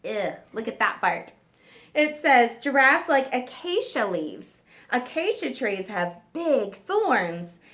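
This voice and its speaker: A woman teacher reading a nonfiction book aloud to children, stressing key words and pausing for simple questions and explanations. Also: on the high side, big pitch swings, fairly bright tone.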